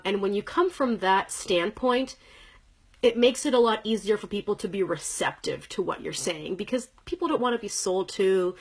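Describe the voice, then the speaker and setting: Woman, 30s, from Canada; casual home livestream from her living room, upbeat and chatty, lots of fillers, mid-range voice. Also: medium pitch. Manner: chatty, upbeat, greets commenters by name, frequent fillers. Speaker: direct sales presenter. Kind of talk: casual livestream talk to viewers.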